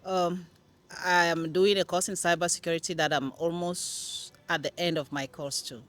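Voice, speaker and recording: Low-voiced woman from Cameroon. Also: level tone, normal speed. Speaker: panelist. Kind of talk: self-introduction.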